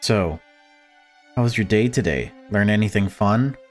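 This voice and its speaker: A man's voice: lowish voice, unhurried, soft background music, lightly acted. A man voicing a fretful mother in a visual novel, prim and chatty, with insistently upbeat small talk. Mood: forced cheer. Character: fretful, forcedly cheerful mother of a family.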